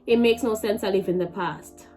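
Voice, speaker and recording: A young woman with a Jamaican accent telling a personal story in a vlog, animated and emphatic, with many restarts. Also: medium pitch, moderate pace, faint music behind. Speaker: vlogger.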